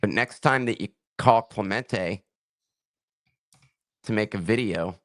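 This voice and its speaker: A man's voice: lowish voice, slow, no room sound. A man co-hosting a casual podcast, with a dry, teasing way of talking. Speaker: podcast co-host.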